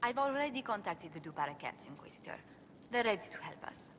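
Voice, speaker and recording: A woman's voice: mid-range voice, very animated, brisk, fairly bright tone, slight background noise. An actress playing a refined, earnest noblewoman diplomat in a fantasy game cutscene, polite, formal and articulate. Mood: earnest, diplomatic. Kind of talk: scripted video game cutscene acting. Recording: long hall reverb, faint background ambience.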